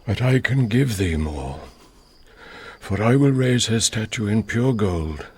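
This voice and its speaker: A man's voice: on the low side, lively intonation, slow, husky, exaggerated voice. A man playing a bereaved, conciliatory older nobleman in a classical radio drama, speaking solemn verse.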